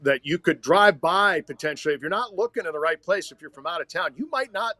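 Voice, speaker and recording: A man's voice: on the high side, animated, quick pace, sharp tone, no echo. An American man, a radio sports announcer, giving conversational answers as a podcast guest.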